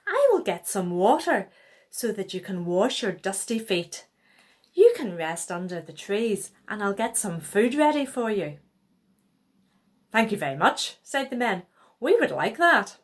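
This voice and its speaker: Woman reading a children's story, very expressively. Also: a little high. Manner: expressive, acting out dialogue. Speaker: children's Bible story reader. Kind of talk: read-aloud children's story.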